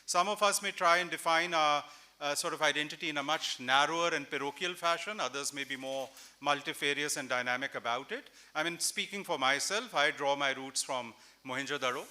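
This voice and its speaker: A man in his forties from Pakistan moderating a festival panel on a stage mic, measured and reflective, thinking aloud with a few fillers. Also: on the high side, bright, forceful tone. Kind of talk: panel discussion at a literary festival. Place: large indoor festival stage with audience. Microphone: stand mic.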